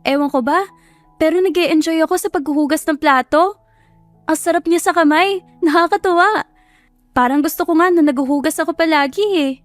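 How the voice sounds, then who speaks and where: A woman's voice: high-pitched, brisk, pauses often, no room sound, faint music behind, voice pushed up, close to an everyday voice. A woman voicing a sweet, determined young lady in love in an audio romance, speaking emphatically and affectionately. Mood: cheerful. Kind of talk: dramatized audio love story.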